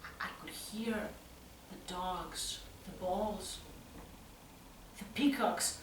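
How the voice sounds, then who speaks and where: A woman acting a tense, troubled youth haunted by violent parents in a halting, intense stage monologue. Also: fairly low pitch. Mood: hushed, tense. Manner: slow, halting, intense, from hushed to loud. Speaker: actress performing a solo monologue. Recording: roomy, distant mic, faint noise.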